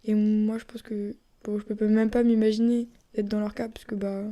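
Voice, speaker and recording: Chatty young woman with mellow voice. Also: medium pitch, level tone, moderate pace, lo-fi sound. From France. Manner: chatty, rambling, drops into soft whispery asides. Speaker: podcast host. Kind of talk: casual solo podcast chat.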